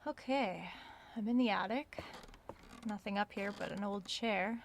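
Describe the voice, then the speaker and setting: An actress playing a curious, self-aware researcher in an audio drama, recording a spoken log in a conversational, measured way. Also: female voice, mid-range voice, gravelly, slight background noise. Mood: musing, casual. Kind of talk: audio drama log, reading aloud and musing.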